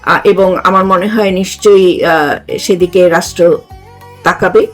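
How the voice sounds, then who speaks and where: A woman's voice: on the low side, fairly even intonation, unhurried, faint music behind. An older woman with a Bangladeshi accent hosting a conversational discussion, emphatic and often repeating herself to seek agreement. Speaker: talk show host, retired judge.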